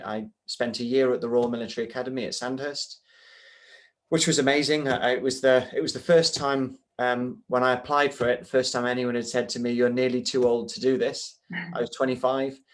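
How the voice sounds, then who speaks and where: Rambling man in an interview. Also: medium pitch. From Wales. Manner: rambling, many ums and restarts. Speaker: martial arts teacher. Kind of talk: interview answers.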